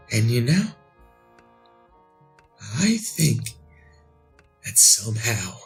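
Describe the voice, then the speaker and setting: An actor playing an obsessed, bewildered man in a retro radio drama, with halting, melodramatic delivery. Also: medium pitch, fairly bright tone, exaggerated voice. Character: obsessed, bewildered man craving knowledge of the future.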